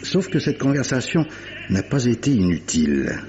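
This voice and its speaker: An actor playing an urbane, clever gentleman captain and amateur detective in a 1950s-style French radio mystery, courtly and theatrical, savouring his words. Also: mid-range voice, very animated, normal speed. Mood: brisk, confident. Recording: muffled vintage radio recording, faint noise.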